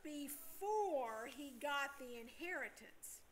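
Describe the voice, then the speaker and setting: A woman's voice: high voice, expressive, slow. An older woman playing a gossipy, practical-minded niece in a staged play reading.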